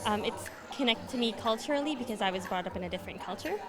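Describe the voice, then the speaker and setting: A woman's voice: a little high, expressive, fast, music in the background. A young woman from Dubai, a fashion design graduate, talking about her work in an earnest, slightly hesitant way. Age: young adult. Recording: crowd chatter behind.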